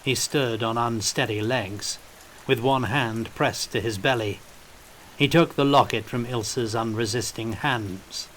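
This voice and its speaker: Guy narrating a fiction audiobook, unhurried, mid pitch, faint rain and hiss behind him. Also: a bit bright, poor audio.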